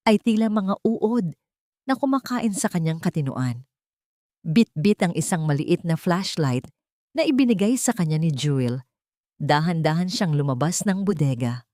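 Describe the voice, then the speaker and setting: A woman's voice: on the low side, a little mellow, no echo, studio quality. A woman narrating a scripted drama story in a dramatic style.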